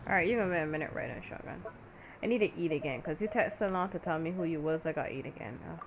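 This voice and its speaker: Fast-talking, blunt woman gamer. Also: sits low, muffled recording, noisy background. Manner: blunt, slangy, profane banter. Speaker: gamer playing and reacting on a vlog channel. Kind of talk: casual gameplay chat.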